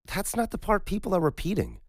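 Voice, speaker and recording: A man voicing a tired young woman medic, speaking in a raised, quick and clipped way. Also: high voice, huge pitch swings, gravelly, dry recording, studio quality, pitched above the performer's own voice, full character voice. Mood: tense.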